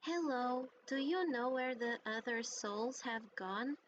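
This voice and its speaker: A woman voicing a polite, lost wandering soul in a storybook tale from a video game, speaking softly. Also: fairly high pitch, slow, far from the mic, muffled recording, a little hiss or hum.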